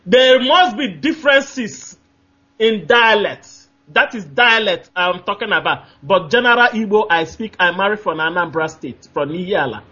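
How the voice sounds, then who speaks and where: A man's voice: high voice, expressive, moderate pace, pushed and bright, husky, muffled recording. A man with a Nigerian accent calling in to a radio talk show, speaking emphatically and repeating his points, often groping for names with fillers. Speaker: caller.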